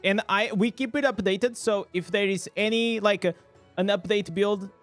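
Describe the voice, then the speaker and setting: A man with a high voice for a man streams a game live, narrating his play in English. He bursts into excited reactions over loot and chats casually with his viewers. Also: moderate pace, faint music behind. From Portugal. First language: Portuguese. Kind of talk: live gameplay commentary.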